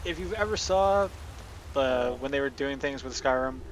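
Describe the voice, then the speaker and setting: A man hosting a gaming video with casual, halting, unscripted commentary. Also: mid-range voice, expressive, a crowd in the background, rough recording. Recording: faint game noise behind voice. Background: video game ambience.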